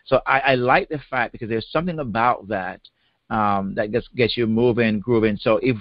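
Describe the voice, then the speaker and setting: A man's voice: mid-range voice, moderate pace, no room sound. Jamaican man co-hosting a podcast. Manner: conversational, repeats words as he starts phrases. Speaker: podcast co-host. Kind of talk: casual chat. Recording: dry, slightly dull podcast audio.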